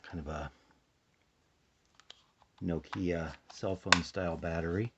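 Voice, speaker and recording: Dull sounding audio, deep husky american guy kinda sleepy, just casually talking thru what hes doing, low key. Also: a little hiss or hum. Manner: low-key, unhurried, thinking aloud. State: a little sleepy. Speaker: hobbyist demonstrating a shortwave radio. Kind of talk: casual hands-on demonstration chat. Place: indoors at a tabletop, close to the camera. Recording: dull, muffled home recording.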